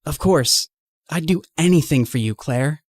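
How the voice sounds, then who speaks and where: Woman voicing low-voiced, earnest teenage boy. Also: expressive, unhurried, a little mellow, dry recording, studio quality. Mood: tender. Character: earnest, anxious, devoted teenage boy, tech-savvy friend. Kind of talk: animated story dialogue.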